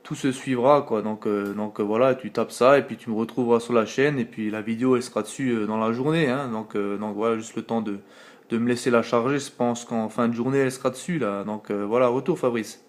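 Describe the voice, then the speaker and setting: A man's voice: mid-range voice, fairly even intonation, brisk. A man with a French accent on a late-night CB radio contact, rambling casually with many fillers, talking into his radio mic at home. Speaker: CB radio operator. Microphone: radio operator's microphone, filmed on phone.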